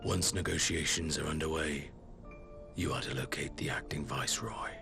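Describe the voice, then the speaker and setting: An actor playing a cold, calculating imperial lord in a video game, speaking in measured, formal commands. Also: male voice. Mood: cold, commanding. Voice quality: very deep, gravelly, breathy at phrase ends. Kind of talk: dramatic video game cutscene acting. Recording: loud music under the voice.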